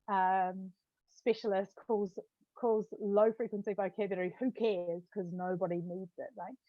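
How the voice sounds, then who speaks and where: A woman's voice: medium pitch, dry recording. A woman giving an academic talk in a chatty way, often adding "right?" and restarting her phrases. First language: not Hungarian; Hungarian is her second language. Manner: conversational, tag questions, frequent restarts. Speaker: lecturer. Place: home study with bookshelf. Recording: dull, dry lo-fi sound.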